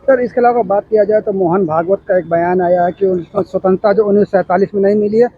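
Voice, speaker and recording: A man's voice: high-pitched, fairly even intonation, fast, husky, dry recording, narrow, tinny audio. A man working as a news reporter, putting spontaneous interview questions.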